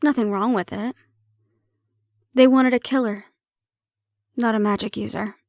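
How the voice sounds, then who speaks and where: A woman playing a prickly but secretly caring handler in an intimate fantasy audio roleplay, speaking in a wry, measured, matter-of-fact way. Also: fairly high pitch, fairly even intonation, a little mellow, no echo, clean studio sound. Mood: musing, matter-of-fact.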